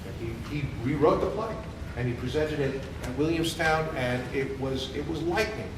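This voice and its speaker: A man's voice: on the high side, moderate pace. Man giving a speech in an echoey hall. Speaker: rabbi introducing the event. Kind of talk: informal welcoming speech to an audience. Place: temple hall, distant camera mic. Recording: echoey hall, distant mic, clear background noise.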